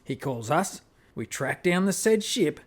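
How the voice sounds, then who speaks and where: A man's voice: on the high side, big pitch swings, measured pace. A man playing a pragmatic, roguish spaceship captain, decisive and plain-spoken, in an amateur sci-fi radio play. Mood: businesslike.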